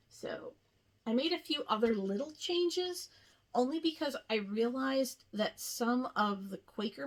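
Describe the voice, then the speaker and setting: A woman hosting a casual craft show-and-tell, chatting in an unhurried way with rambling asides. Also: mid-range voice. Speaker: cross-stitch video host.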